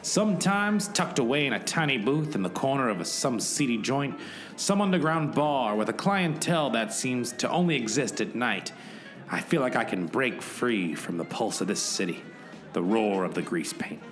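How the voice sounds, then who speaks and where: A man's voice: medium pitch, natural voice. A man playing a weary, world-wise private detective in a hard-boiled radio play, brooding and dramatic. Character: weary, world-wise male gumshoe detective narrating his case.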